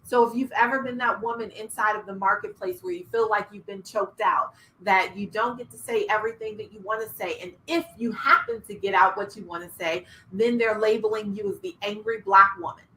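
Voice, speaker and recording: A middle-aged woman coaching entrepreneurs in an online workshop, energetic, laughing and asking the audience questions. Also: mid-range voice, fairly quick, few pauses, poor audio. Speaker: business coach. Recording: faint background noise.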